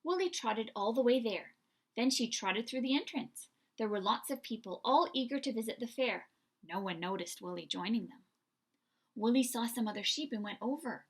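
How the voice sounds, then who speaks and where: A woman hosting a read-aloud for young children, telling the story in an expressive, deliberate way. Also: a little high. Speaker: children's story reader and host.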